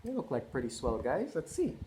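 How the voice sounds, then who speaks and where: A man's voice: medium pitch, wildly swooping pitch, a little fast, background noise. A man reading a storybook aloud to children, with chatty asides and questions to the young listeners.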